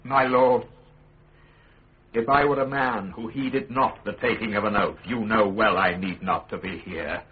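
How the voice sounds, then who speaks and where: An actor with a British accent playing a principled, defiant prisoner in a radio courtroom drama, speaking in measured, lawyerly oratory. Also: male voice, lowish voice, fairly even intonation, narrow, tinny audio, close to an everyday voice. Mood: indignant, solemn. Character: principled accused statesman, grave, eloquent, defiant prisoner.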